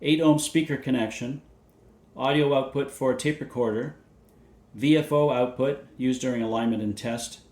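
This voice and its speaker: A man's voice: medium pitch, a little mellow. Man narrating technical specs, echoey room. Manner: measured, matter-of-fact, reading out specifications. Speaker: presenter of vintage radio videos. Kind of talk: scripted narration, technical product overview. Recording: roomy, echoey indoor sound.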